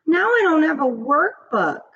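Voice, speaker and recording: A bossy storybook schoolgirl, voiced by a woman reader, high and swooping. She is demanding, boastful and whiny as she needles a classmate, through a dull, noisy recording. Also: big pitch swings, unhurried, fairly bright tone, exaggerated voice. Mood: complaining.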